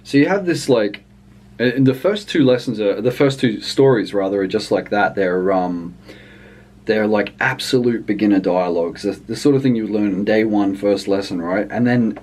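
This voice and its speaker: Male reviewer, lower register, off-the-cuff and rambling, thinks aloud with hesitations. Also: moderate pace, a little mellow. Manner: hesitant, rambling delivery with trailing thoughts. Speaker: language book reviewer. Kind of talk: casual unscripted review chat.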